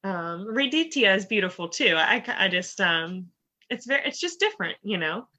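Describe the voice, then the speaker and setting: A woman's voice: mid-range voice, sing-song, moderate pace, pushed and bright. A woman hosting a casual podcast interview, upbeat and chatty, with plenty of fillers and "like". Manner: upbeat, lots of fillers and 'like'. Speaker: podcast host.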